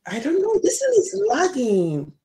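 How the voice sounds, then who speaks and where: A woman's voice: fairly high pitch, wildly swooping pitch, unhurried, mellow. A young woman in her twenties with a thick Nigerian accent, chatting on a livestream and apologizing over phone trouble. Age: 20s. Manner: apologetic, flustered by tech trouble. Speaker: guest co-host. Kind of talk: casual livestream chat.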